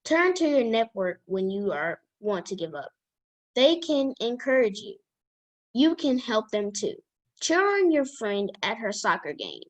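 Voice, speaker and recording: Slow, careful female reader, higher voice. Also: sing-song. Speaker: guest reader. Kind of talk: reading a children's self-help book aloud.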